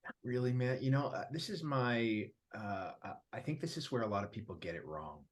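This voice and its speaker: A man in a casual group interview, talking about his work in a relaxed, emphatic way and laughing easily. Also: medium pitch, brisk. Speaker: featured guest, app founder.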